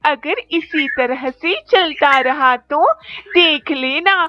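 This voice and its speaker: Bitter crow wife for animation, acted by a woman: high, slow, complaining, dull hissy recording. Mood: despairing. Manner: complaining, scolding. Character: bitter, nagging, hungry female crow wife. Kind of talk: children's cartoon voice acting in Hindi.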